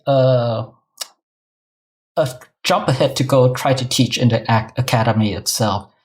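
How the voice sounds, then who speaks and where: A middle-aged man with a Singaporean accent, answering interview questions conversationally as an academic guest. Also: mid-range voice. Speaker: guest, New Testament professor.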